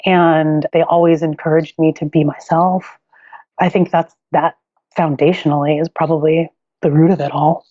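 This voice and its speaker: A woman in her forties speaking as an interview guest, candid and reflective, her thoughts tumbling out in restarts punctuated by light laughter. Her voice sits a little low and is recorded close and dry. The audio is somewhat dull and lo-fi. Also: flat delivery, moderate pace, mellow, no echo. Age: 40s. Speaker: guest author. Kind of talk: reflective personal storytelling.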